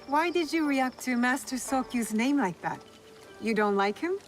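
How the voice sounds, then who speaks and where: Guarded, high-voiced young trader woman. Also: lively intonation, moderate pace, faint music behind. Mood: direct, reserved. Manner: direct, reserved, questioning. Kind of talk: video game cutscene dialogue.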